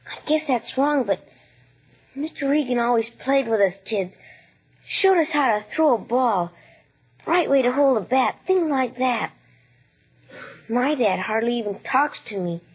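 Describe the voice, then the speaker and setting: A woman playing a plain-spoken, wistful young American boy with a husky voice in a 1940s radio drama. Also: a little high, animated, a little slow, pauses often, exaggerated voice. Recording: thin, narrow old radio broadcast.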